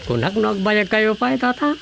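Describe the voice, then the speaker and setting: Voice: medium pitch, expressive, brisk, creaky, over loud music. An actor with an Ilocano accent playing a plain-spoken village councillor in a radio drama comedy. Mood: matter-of-fact. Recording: noisy radio drama track with ambience.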